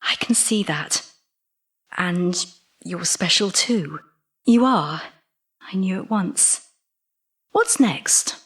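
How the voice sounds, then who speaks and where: An actress in an audio drama playing a flirtatious, admiring female librarian of about forty, tender and flattering. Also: lowish voice, slow, long pauses, breathy edge, heavily acted. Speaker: actor.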